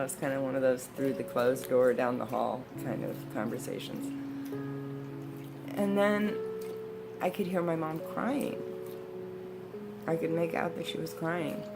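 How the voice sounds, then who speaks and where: An American woman in her sixties telling personal memories in a casual, reflective, conversational way. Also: low-pitched. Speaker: storytelling vlogger. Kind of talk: personal reminiscing, casual storytelling.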